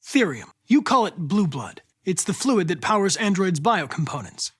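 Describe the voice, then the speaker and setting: A man's voice: high-pitched, big pitch swings, normal speed, husky, full character voice. An American man playing a polite, analytical young android detective in a video game, earnest and determined, with a precise, formal delivery. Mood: calm, formal.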